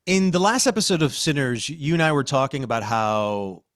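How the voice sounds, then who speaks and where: An American man co-hosting a podcast, talking through a story in an emphatic, reflective way with dramatic pauses. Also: mid-range voice, lively intonation, fairly bright tone, no room sound, clean studio sound. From Queens, New York, United States. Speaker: podcast co-host. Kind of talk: conversational podcast analysis.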